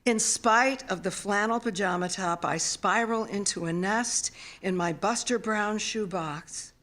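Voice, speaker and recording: A mature woman reading a literary memoir at a live event in an expressive, solemn storytelling manner. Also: medium pitch. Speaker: author reading own work. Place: theater stage, live reading.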